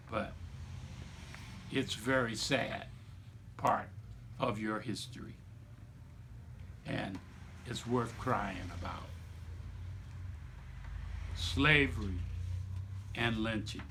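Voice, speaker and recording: A man reading aloud to children like a teacher, with deliberate, emphatic phrasing and explanatory asides. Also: fairly low pitch, bright, forceful tone, slight background noise. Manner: deliberate, emphatic phrasing with repetition for young listeners.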